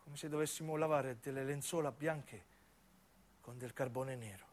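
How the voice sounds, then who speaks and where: A grave young activist, acted by a man in a radio drama. He speaks low and measured, with a brooding weight. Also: close to an everyday voice. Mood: grave, foreboding.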